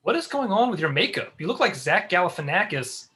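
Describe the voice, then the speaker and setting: A man playing a blunt, deadpan show colleague in a comedy table read. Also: high voice, fairly quick, exaggerated voice.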